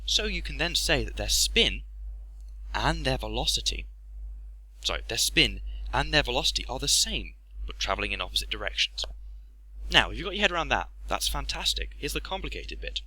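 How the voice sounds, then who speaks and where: A man's voice: mid-range voice, very animated, fairly quick, bright, forceful tone, slightly rough, no room sound, poor audio. A man giving a casual, jokey, sweary explainer voice-over in an informal way. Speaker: let's play host explaining physics.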